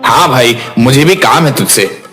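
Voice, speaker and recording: A man playing a cheeky, brash, slangy young man down on his luck in an animated moral story. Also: medium pitch, moderate pace, soft background music. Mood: teasing.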